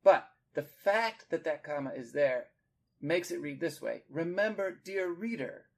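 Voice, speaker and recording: A man's voice: a little high, lively intonation, measured pace, gravelly. A man hosting a literary discussion, explaining like a teacher in an articulate way with emphasis on key words. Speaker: podcast host and literature teacher.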